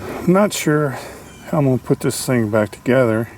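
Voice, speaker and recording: A man giving a casual, unscripted hands-on repair demonstration, halting as he thinks aloud. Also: mid-range voice, animated, darkish tone. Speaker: hobbyist radio restorer demonstrating a repair. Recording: clearly noisy amateur video sound.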